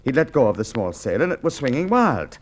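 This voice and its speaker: A man's voice: medium pitch, brisk. An actor playing a polite, scheming man who murders his business partner, in an old radio drama. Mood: feigned grief. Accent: Australian. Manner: calm, polite and menacing. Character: cold scheming male murderer, smooth and polite. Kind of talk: 1950s radio drama acting.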